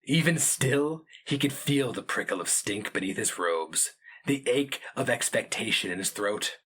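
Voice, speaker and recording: A man narrating a fantasy story as a dramatic reading, solemn, deliberate and theatrical. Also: mid-range voice, animated, bright, forceful tone, husky.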